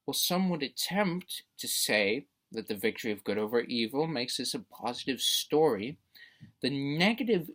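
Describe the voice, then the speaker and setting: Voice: mid-range voice, fairly bright tone. A debate speaker delivering a prepared persuasive speech in a formal, emphatic, rhetorical manner.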